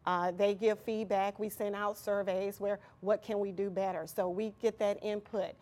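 A woman's voice: mid-range voice, level tone, normal speed, darkish tone. A woman answering as an interview guest in a conversational way, often searching for her words. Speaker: interview guest from an employment nonprofit.

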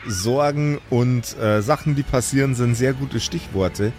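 Male game master, slow measured pace, playful narration, soft music underneath. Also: mid-range voice.

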